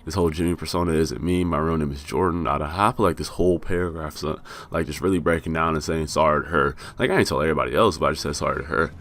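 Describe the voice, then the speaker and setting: Young American man vlogger, raspy, low-voiced, rambling profane storyteller. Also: rapid-fire, fairly bright tone. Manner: rambling, profane, self-mocking with laughs. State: raspy from being high. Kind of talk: casual storytelling.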